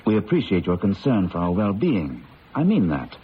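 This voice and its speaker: A man's voice: low-pitched, huge pitch swings, normal speed. An actor playing a breezy, unruffled skeptical man with a wry, reasoning manner in a radio drama. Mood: affable, wry. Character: breezy skeptical man who scoffs at ghosts. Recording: dull lo-fi old radio, faint noise.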